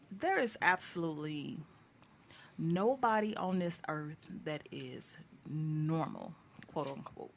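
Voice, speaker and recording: A woman's voice: lowish voice, vocal fry, a bit bright, a little hiss or hum. A woman co-hosting a casual podcast, talking frankly and leading the questions. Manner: frank, conversational, leads the questions. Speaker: podcast co-host.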